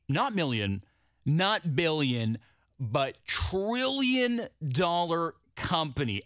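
A man's voice: a little high, fairly bright tone, dry recording. A man, hosting morning radio in upbeat, emphatic DJ patter, close on a studio mic. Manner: emphatic, with repeated words and drawn-out stresses. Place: small radio studio indoors. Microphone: close studio broadcast microphone.

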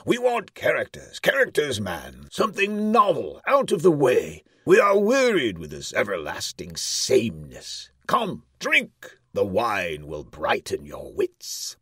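A man voicing a tyrannical, blustering king in a storybook reading, loud, commanding and cruel. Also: on the low side, wildly swooping pitch, a little slow, few pauses, breathy edge, dry recording, clean studio sound, voice pushed up, heavily acted. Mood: jovial, commanding.

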